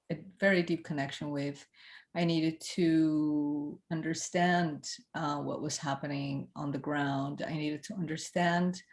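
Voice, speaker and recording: Low-voiced woman, thoughtful and hesitant. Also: vocal fry, darkish tone. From Ethiopia. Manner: thoughtful, hesitant, many fillers and restarts. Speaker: film director. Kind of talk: recorded festival Q&A.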